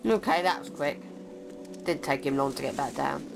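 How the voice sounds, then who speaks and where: A woman's voice: deep voice, expressive, moderate pace, vocal fry, over loud music, poor audio. A young woman giving casual let's play commentary as a co-commentator. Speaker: gaming co-commentator.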